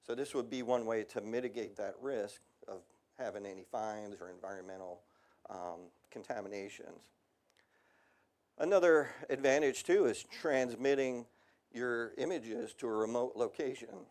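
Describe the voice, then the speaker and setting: A man's voice: medium pitch, moderate pace, darkish tone. Man presenting at a technical seminar. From United States. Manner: casual, conversational, frequent ums and asides. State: voice slightly worn. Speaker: industrial radiography presenter.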